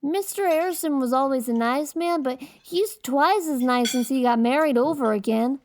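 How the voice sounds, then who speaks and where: A woman's voice: high-pitched, normal speed, a little mellow, slight background noise, natural voice. A woman voicing a talkative eight-year-old boy in an audiobook, earnest, mischievous and curious, with childlike, slangy speech. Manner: childlike chatter with slangy, ungrammatical wording. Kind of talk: dramatized audiobook character acting.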